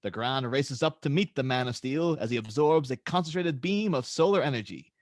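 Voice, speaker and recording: A man's voice: mid-range voice, expressive, fairly quick, dry recording, clean studio sound. A man reading stage directions as the narrator of a dramatic superhero script table read.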